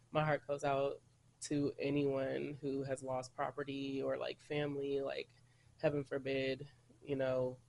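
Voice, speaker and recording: A man's voice: mid-range voice, vocal fry, slight background noise. A congested man recovering from a cold, hosting a casual solo podcast and rambling in a chatty way. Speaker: podcast host.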